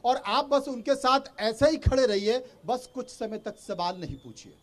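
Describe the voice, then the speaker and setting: A man's voice: very high voice, lively intonation, measured pace. A man hosting and moderating a political news debate on television. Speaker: TV debate host.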